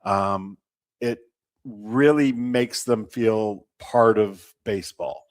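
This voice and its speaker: A low-voiced man gives an interview as a baseball team representative. He talks in a measured, halting way, stopping mid-thought before carrying on. The sound is close and dry. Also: dry recording. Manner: measured, halting, often pausing mid-sentence. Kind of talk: unscripted sports interview talk.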